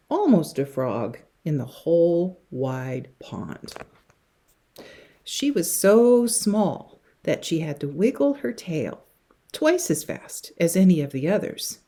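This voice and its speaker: A woman reads a children's story aloud in a low, mellow voice with a husky edge. She takes her time and swings widely in pitch, dropping to a hush for suspense and leaning hard on key words. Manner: dramatic, with hushed passages and emphatic words. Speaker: teacher reading a picture book to young children.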